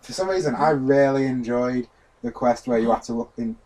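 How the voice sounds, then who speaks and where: A man's voice: mid-range voice, fairly quick, darkish tone, poor audio. A man co-hosting a let's play, chatting casually in a blunt way. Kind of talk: casual gaming chat. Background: video game music.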